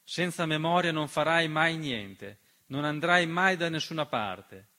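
Guy doing emphatic theatre monologue, sharp bright voice a bit high, piano behind. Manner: emphatic, rhetorical, with deliberate repetition. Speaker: narrator and writer-performer of a theatre monologue. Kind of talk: dramatic political theatre monologue. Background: piano accompaniment.

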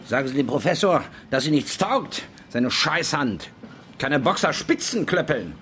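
Irascible painter, male, high register with lively swings, bitter, radio crime drama. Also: unhurried, a little hiss or hum, full character voice. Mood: bitter, exasperated.

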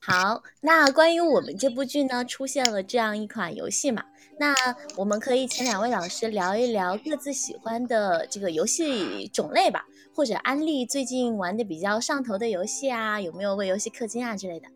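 A woman's voice: fairly high pitch, animated, fairly quick, few pauses. A woman hosting a light group interview, chatting in a playful, teasing way. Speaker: host.

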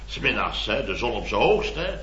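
A flustered middle-aged boss from an old radio comedy, blustering in a sharp, forceful voice. He rambles, stammers and repeats himself, heard in a very roomy, slightly dull vintage recording. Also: on the low side, very animated, fairly quick, exaggerated voice. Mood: nostalgic, excitable. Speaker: actor in a radio comedy serial. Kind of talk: 1970s Dutch radio comedy acting.

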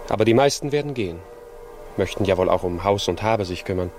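A calm, chivalrous gentleman in a 1950s radio play. His low voice is measured, grave and formal. Faint music accompanies him. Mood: sober. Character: sober, dignified gentleman Fernando, calm and chivalrous.

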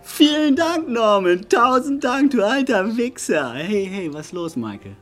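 Brash banker, highish voice, swooping intonation, teasing, crude, radio drama. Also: male voice, big pitch swings, a little slow, soft background music. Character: brash, boozy, gossiping male City banker. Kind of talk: naturalistic radio drama dialogue.

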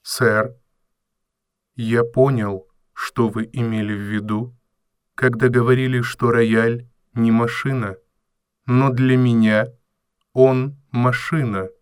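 An actor voicing a polite robot servant in an audio drama, formal, deliberate and emotionless. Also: male voice, mid-range voice, steady tone, smooth, no echo, clean studio sound, natural voice. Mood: grave, polite. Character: polite, measured robot servant with unshakable calm logic.

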